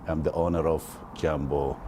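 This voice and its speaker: Guy, super deep voice, slow and hesitant, street noise all around. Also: level tone. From Ethiopia. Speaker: car dealer interviewee.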